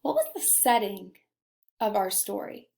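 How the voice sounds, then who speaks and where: A woman's voice: mid-range voice, very animated, a little slow, creaky, a little mellow. A woman teacher reading a children's story aloud with dramatic emphasis and talking directly to her young pupils. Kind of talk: expressive children's story read-aloud and lesson.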